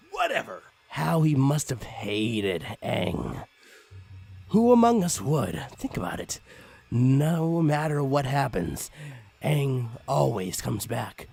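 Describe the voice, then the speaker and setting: Raspy, theatrical man reading a comic horror story. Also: mid-range voice, darkish tone. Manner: theatrical, mock-ominous with drawn-out phrases. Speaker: creepypasta reader. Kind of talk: dramatic comic story narration.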